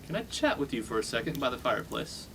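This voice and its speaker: An actor playing a bullying, dim young fraternity boss in a comedic radio play, blunt and domineering. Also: male voice, mid-range voice, sing-song, fast, fairly bright tone, slight background noise. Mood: suspicious.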